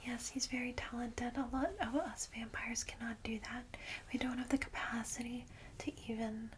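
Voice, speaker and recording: A woman playing a friendly, caring vampire guide in a soft, breathy ASMR whisper, gentle and informal. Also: fairly high pitch, fairly even intonation, normal speed, slight background noise, lightly acted. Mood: soft, welcoming. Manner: gentle, hesitant, reassuring, informal.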